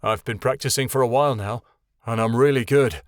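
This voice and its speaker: A man's voice: medium pitch, fairly even intonation, a little fast, no room sound, studio quality, close to an everyday voice. A man voicing a shy, resentful young warlock.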